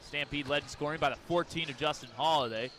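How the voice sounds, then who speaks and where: High-pitched male basketball play-by-play announcer. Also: quick pace. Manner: excitable, clipped descriptive phrases. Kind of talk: live basketball radio commentary. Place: courtside in an arena. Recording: noisy gym with bouncing balls. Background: basketball game arena noise.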